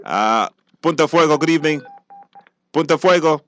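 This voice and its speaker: A man's voice: fairly high pitch, lively intonation, moderate pace, a little hiss or hum. A Belizean man hosting a live radio call-in quiz in a casual, chatty style. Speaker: radio show host.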